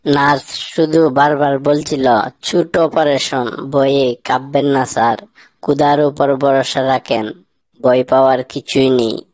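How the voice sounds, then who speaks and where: A man's voice: mid-range voice, steady tone, measured pace, darkish tone, dry recording, close to an everyday voice, low-quality recording. A man with a Bangladeshi accent voicing a grumpy, baffled man in a comic cartoon skit, with blunt, questioning delivery. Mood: grumbling, puzzled. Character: grumpy, baffled man, stern boss and troubled friend.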